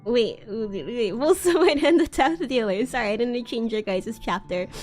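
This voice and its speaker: A woman's voice: a little high, sing-song, a little slow, faint music behind. A woman livestream host doing a giggly, theatrical comedic reading, often breaking off into asides. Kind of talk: live comedic dramatic reading of fan fiction.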